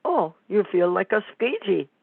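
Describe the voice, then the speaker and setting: A woman playing a pompous, neurotic psychiatrist in a comic radio play, with a measured clinical delivery and tinny, phone-like sound. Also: medium pitch, sing-song, vocal fry, slightly rough, dry recording, exaggerated voice. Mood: pompous, analytical.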